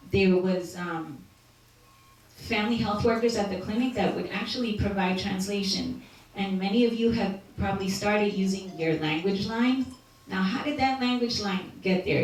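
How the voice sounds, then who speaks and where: A woman giving an informal talk to doctors about community health history, hesitant and full of fillers and restarts. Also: medium pitch. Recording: very echoey hall audio from far off.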